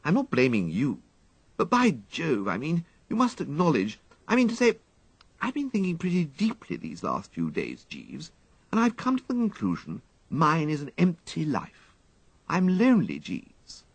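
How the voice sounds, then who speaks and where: A man voicing a fretful, flighty young upper-class English gentleman who dithers and exclaims plaintively, in an audiobook story. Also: on the high side, huge pitch swings, moderate pace, slightly rough, dry recording, pitched above the performer's own voice, exaggerated voice. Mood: moody, self-pitying. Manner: exclamatory, dithering, drawling dandyish complaint.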